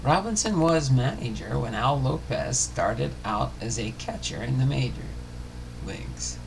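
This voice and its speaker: Halting, gravelly male narrator over clear noise. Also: mid-range voice, lively intonation. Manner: halting reading with frequent stumbles and self-corrections. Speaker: host of a sports history show. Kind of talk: scripted narration read aloud, baseball biography.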